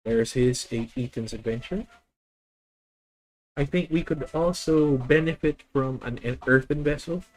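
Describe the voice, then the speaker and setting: A man with a Filipino accent talking through his plays on a live stream. He reasons out loud in a methodical way, often stopping to correct himself mid-sentence. His voice sits at an ordinary middle pitch. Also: unhurried, poor audio. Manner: thinking aloud, methodical, self-correcting. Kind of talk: live deck-test commentary.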